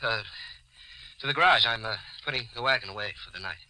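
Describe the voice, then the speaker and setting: An actor playing a nervous, guilt-ridden hearse driver in a 1940s radio thriller, jittery and defensive. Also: male voice, medium pitch, fast talker, sharp tone. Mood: nervous, evasive. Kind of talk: 1940s radio thriller acting with first-person narration. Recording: noisy old 1940s radio recording.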